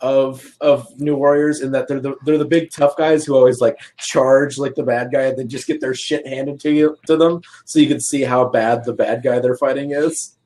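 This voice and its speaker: A man's voice: medium pitch, steady tone, moderate pace, few pauses, a little mellow. A man, young to middle-aged, chatting casually as a guest and telling anecdotes in an informal, wry way. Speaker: podcast guest.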